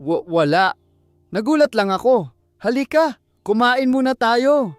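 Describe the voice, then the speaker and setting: Audiobook role: nervous, secretive young Filipina wife, acted by a male narrator, raised pitch, halting delivery, music underneath. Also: lively intonation, no room sound, clean studio sound.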